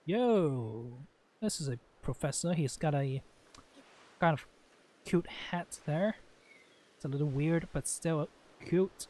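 A man's voice: fairly high pitch, lively intonation, slow pace, pauses often, dark tone. A man giving casual, rambling and blunt commentary as he hosts a gameplay video. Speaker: gaming video host playing and commenting.

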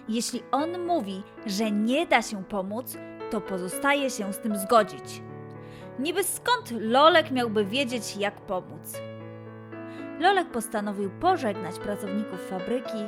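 A woman storyteller giving a scripted narration of a tale for children. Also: fairly high pitch.

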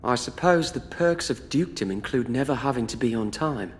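An actor playing a poised, polite young duke with formal, courtly phrasing in a video game cutscene. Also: male voice, medium pitch, expressive, fairly quick, close to an everyday voice. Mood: composed, assured. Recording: long hall reverb, background noise.

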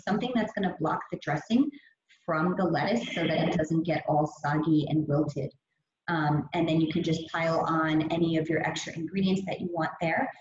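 Young woman, fitness trainer, upbeat and chatty, lower voice, dull echoey video call audio. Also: darkish tone, slight background noise. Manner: upbeat, chatty, many fillers. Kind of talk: presenting a meal prep talk over slides. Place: gym or studio room.

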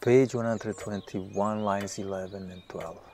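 An actor playing a self-absorbed, softly charming writer in an audio drama, measured and musing. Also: male voice, fairly low pitch, fairly even intonation, a little hiss or hum. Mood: tender, charmed.

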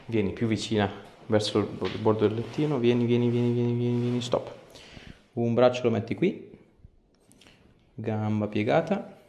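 Creaky-voiced chiropractor in an echoey room. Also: male voice, medium pitch, fairly even intonation, normal speed, a little hiss or hum. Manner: short, gentle, repeated instructions. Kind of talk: instructing a patient during treatment. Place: small indoor treatment room. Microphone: camera a few steps away. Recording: roomy echo, camera across small room.

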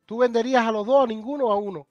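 A middle-aged Cuban man hosts a relaxed live stream from home, calling his guest brother and thanking viewers. His voice sits quite high. He talks animatedly into a webcam. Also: rapid-fire, dull audio, soft background music. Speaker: host. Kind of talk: casual live stream chat.

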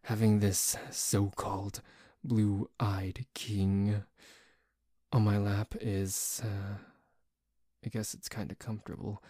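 A man playing a dorky, grumpy, possessive but secretly tender boyfriend in an ASMR roleplay, grumbling haltingly with sighs. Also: fairly low pitch, level tone, mellow, no room sound, studio quality. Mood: softening, affectionate.

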